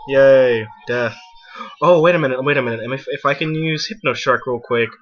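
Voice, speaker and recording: Young man, bright tense voice, casual gaming commentary, excitable exclamations, game music behind. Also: mid-range voice, normal speed, dull audio. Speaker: gaming video host. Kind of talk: casual let's play commentary. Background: video game music.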